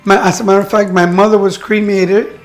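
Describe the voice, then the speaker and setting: A woman's voice: mid-range voice, flat delivery, a little fast, soft background music. An older woman from Cuba, a teacher, chatting and reminiscing with many restarts and fillers. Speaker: guest, retired teacher. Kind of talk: casual chat.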